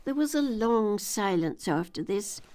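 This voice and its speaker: An older woman reading a children's story aloud as an expressive audiobook narrator. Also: mid-range voice, a little slow.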